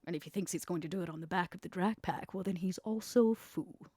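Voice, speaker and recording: Poised, scheming vampire woman played by a woman, low-pitched. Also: mellow, husky, dry recording, studio quality. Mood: contemptuous, vengeful. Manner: deliberate, dramatic pauses, stressed key words. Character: scheming, imperious female vampire crime partner, poised and ruthless. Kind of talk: in-character tabletop roleplay acting.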